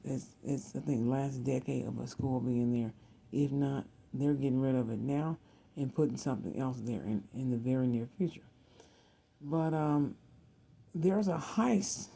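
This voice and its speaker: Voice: medium pitch, creaky, warm tone, slightly rough. A person in their thirties, hosting a rambling, casual psychic video monologue, often circling back and repeating phrases. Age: 30s. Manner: repeats words and phrases, digressive. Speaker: tarot and psychic reading video host.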